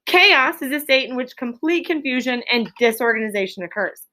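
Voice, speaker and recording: An American woman speaks as an elementary school teacher leading an interactive lesson. Her voice is high and bright, and she instructs clearly, modeling things for her young pupils. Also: lively intonation, fairly quick.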